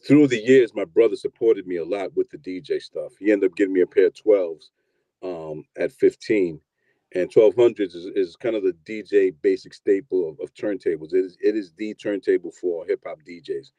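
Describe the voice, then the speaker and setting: A man in his fifties from New York telling his story in a relaxed, rambling interview, often repeating words as he starts. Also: deep voice, moderate pace, no room sound, dull audio. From New York, USA. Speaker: guest, producer and DJ.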